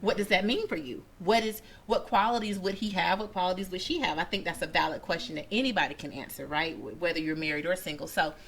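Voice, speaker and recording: A woman's voice: on the low side, fast talker, vocal fry, few pauses, a bit bright. Woman hosting a casual panel chat, informal and wordy, bit of noise behind her. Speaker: host of a panel talk show. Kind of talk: casual group discussion hosting.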